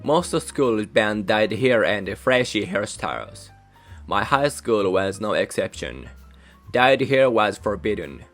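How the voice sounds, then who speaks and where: A young man from Japan, a native Japanese speaker with a Japanese accent, hosting his channel in measured, careful scripted narration. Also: on the low side. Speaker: channel host. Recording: soft music behind voice.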